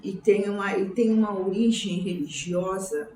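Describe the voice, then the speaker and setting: Woman in her 60s from sao paulo, paulistana accent, interview memories, halting and reflective, kinda far from the mic with faint noise. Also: medium pitch, fairly even intonation, a little mellow. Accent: São Paulo Brazilian Portuguese. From São Paulo, Brazil. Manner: halting, reflective, repeats words and seeks agreement. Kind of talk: oral history interview, autobiographical recollection. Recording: roomy, distant mic, faint background noise.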